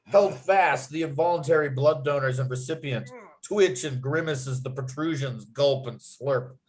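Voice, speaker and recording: A man with a medium-pitched voice serves as narrator for a script read. He delivers stage directions and scene headings as written, in descriptive phrases. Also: moderate pace. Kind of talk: reading screenplay stage directions aloud.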